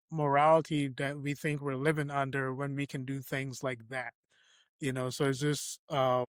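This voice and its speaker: A podcast host from Barbados, telling a personal story in a reflective way with frequent fillers. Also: male voice, mid-range voice, no echo. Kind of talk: conversational interview.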